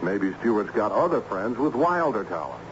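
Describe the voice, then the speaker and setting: An actor playing an earnest, learned schoolteacher who speaks insistently and didactically in a radio drama. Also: male voice, fairly low pitch, very animated, normal speed. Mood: troubled. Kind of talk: old-time radio drama acting. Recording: muffled, noisy radio drama recording.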